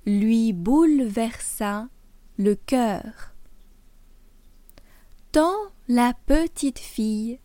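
A woman from France giving a scripted French lesson, speaking with careful, deliberate enunciation as a teacher. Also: a little high, mellow, dry recording. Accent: French accent in Mandarin. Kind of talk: scripted language lesson with slow storybook reading.

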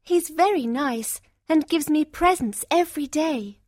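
Woman voicing a high-pitched, kind young storybook heroine. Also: a little slow, a little mellow, dry recording, studio quality. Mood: happy, generous. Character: kind, gentle young fairy-tale heroine, devoted daughter and sister.

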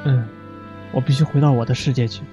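Actor as gentle stranded man, medium pitch, awkward, earnest, audio drama, music bed. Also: fairly even intonation, brisk, lo-fi sound, close to an everyday voice. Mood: puzzled. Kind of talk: Chinese sci-fi radio drama acting.

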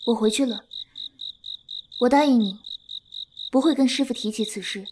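A woman playing a gentle, patient young martial arts disciple in a Chinese period audio drama, soft-spoken and earnest. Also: on the high side, moderate pace, background noise, close to an everyday voice. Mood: earnest, reassuring.

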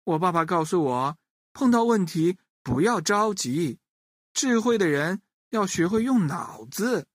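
A woman's voice: lowish voice, animated, a little slow, dry recording, studio quality. A woman voicing a kind, clever little cowherd boy, cheerful, confident and eager, in a children's story. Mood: reassuring, eager. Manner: eager, repeats words when calling out.

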